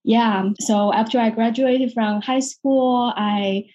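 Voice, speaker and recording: A woman from Taiwan, a psychologist talking about her career in a relaxed interview, hesitant with many restarts and quick laughs. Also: fairly high pitch, muffled recording. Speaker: guest psychologist. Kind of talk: casual interview chat.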